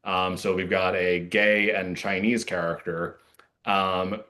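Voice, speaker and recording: A man speaking casually as a playwright and actor being interviewed, earnest, with halting phrasing and frequent use of "like". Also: sits low, little pitch movement, pushed and bright.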